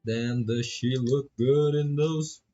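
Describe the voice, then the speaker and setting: A man's voice: mid-range voice, fairly even intonation, slow pace, dark tone, muffled recording, soft background music. A man doing laid-back, casual live commentary on a visual novel, reading text aloud and swearing freely as he reacts.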